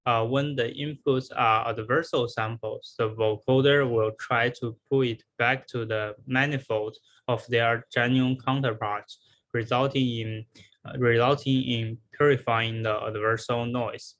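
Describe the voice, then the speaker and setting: Slow-paced man presenting research. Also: medium pitch, steady tone, a bit bright, low-quality recording. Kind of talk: scripted academic presentation.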